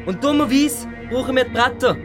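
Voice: high voice, fairly quick, lightly acted. Threatening teenage bully put on by an actor, sneering and taunting, children's audio drama with faint background music. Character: aggressive, taunting older boy, a bully. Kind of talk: acted children's audio story.

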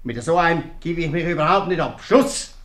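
An actor with a Swiss accent playing a stubborn, scornful, bossy innkeeper father in a 1950s radio comedy, gruff and curt. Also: fairly high pitch, a little fast, fairly bright tone, close to an everyday voice. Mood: scornful, domineering. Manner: blustering, curt. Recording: roomy 1950s radio play recording.

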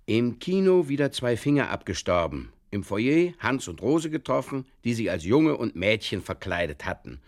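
A man playing a despairing drinker who reads out absurd diary entries in terse, deadpan fragments, in a comic audio drama. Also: mid-range voice, normal speed, few pauses. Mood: glum deadpan.

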